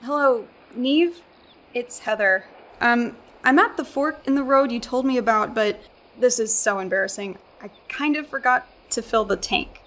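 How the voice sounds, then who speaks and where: Woman playing a high-voiced young American tourist. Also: moderate pace, fairly bright tone, close to an everyday voice. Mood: sheepish, wry. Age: young adult. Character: young American woman tourist, earnest, wry, self-deprecating. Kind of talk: radio play acting.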